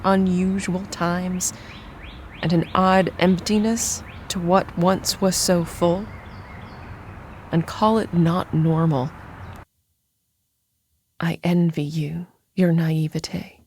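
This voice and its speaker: A woman playing a bereaved mother, emotionally hollow and bitter, giving a somber, measured dramatic monologue. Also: fairly low pitch, level tone, a little mellow, slight background noise. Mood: bitter sorrow. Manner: measured, somber, poetic delivery. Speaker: audio drama actor.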